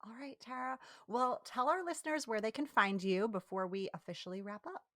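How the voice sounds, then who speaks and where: A woman's voice: fairly high pitch, pushed and bright, no room sound, studio quality. A woman hosting a podcast, friendly and upbeat, whose first language was Italian. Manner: friendly, upbeat, quick to laugh. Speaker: podcast host. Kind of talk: casual interview hosting.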